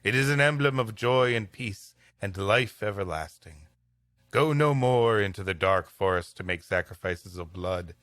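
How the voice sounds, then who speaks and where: Solemn missionary saint acted by a male reader, declamatory, earnest, bright voice, dry close sound, storybook preaching. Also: on the low side, animated, moderate pace, no room sound. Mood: solemn, exhorting. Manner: declamatory, biblical phrasing.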